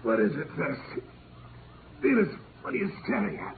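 Old radio drama: wounded doctor, creaky strained voice, bitter, narrow noisy recording. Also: male voice, high voice, sing-song, moderate pace, exaggerated voice. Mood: pained, alarmed. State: weak and strained from an injury. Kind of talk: 1950s radio acting.

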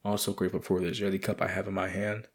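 Raspy, low-voiced podcast host. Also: male voice, flat delivery, quick pace. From United States. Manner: profane, rambling, loose. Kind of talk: casual late-night monologue.

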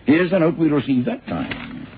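An actor playing a worried, brisk official who explains things emphatically in a vintage radio crime drama. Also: male voice, fairly high pitch, sing-song, phone-quality sound, slight background noise. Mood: urgent, grave. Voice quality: husky, rough. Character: worried, brisk male official briefing investigators. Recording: thin, band-limited old radio recording.

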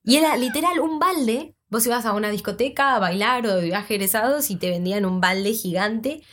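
A woman's voice: fairly high pitch, normal speed, fairly bright tone. A young woman from Argentina with an Argentine accent, co-hosting a podcast and joking through casual chat. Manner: joking, teasing. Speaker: podcast co-host.

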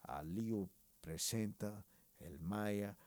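A man's voice: lowish voice, slow. A man doing a slangy, rambling comic monologue, laughing often. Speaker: comedian and music presenter. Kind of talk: comic monologue with put-on voices.

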